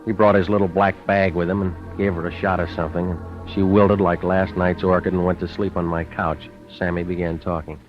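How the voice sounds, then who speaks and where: Male actor for laconic American gumshoe, radio noir. Deep, wisecracking, with dull vintage sound. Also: flat delivery, fairly quick, few pauses, over music, natural voice. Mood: wry. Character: hard-boiled, wry American private eye. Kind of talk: 1940s radio noir dialogue and narration.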